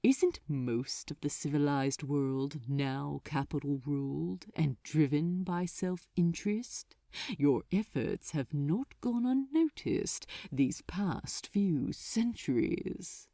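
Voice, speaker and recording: A woman voicing a smug, seductive male archdemon in an audiobook, silky and softly menacing in a theatrical way. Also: deep voice, slow pace, gravelly, no echo, clean studio sound, pitched below the performer's own voice, exaggerated voice. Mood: smug, patronizing. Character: seductive, sinister male archdemon, smugly patronizing. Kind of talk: dramatized fan fiction audiobook reading.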